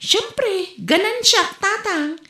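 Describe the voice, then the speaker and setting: A woman's voice: very high-pitched, animated, takes it slowly, pushed and bright, heavily acted. A woman with a Filipino accent playing a brash, greedy pig trader, sassy and pushy, in an audio drama. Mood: boastful. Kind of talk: amateur audio drama dialogue. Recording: roomy echo, faint music behind.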